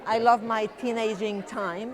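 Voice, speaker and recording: A woman in her sixties with a voice sitting a little on the high side, who grew up in Venezuela and speaks English learned later in life. She tells stories in an unhurried way, pausing and searching for words. A murmur of people carries behind her. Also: steady tone, a crowd in the background. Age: 60s. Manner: warm storytelling, unhurried with many pauses and fillers. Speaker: guest. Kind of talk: casual interview chat.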